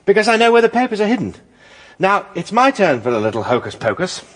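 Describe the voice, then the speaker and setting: An actor playing a keen, plucky amateur sleuth in a radio drama, confident and polite in manner. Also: male voice, high-pitched, big pitch swings, quick pace. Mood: eager.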